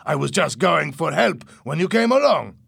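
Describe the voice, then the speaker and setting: A man voicing a ruthless, bossy smuggler in an audiobook story, with a loud, pushy delivery. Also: a little high, lively intonation, measured pace, gravelly, dry recording, pitched above the performer's own voice, full character voice. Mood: lying, defensive. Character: ruthless, lying male drug smuggler, bossy and forceful. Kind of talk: audiobook character acting.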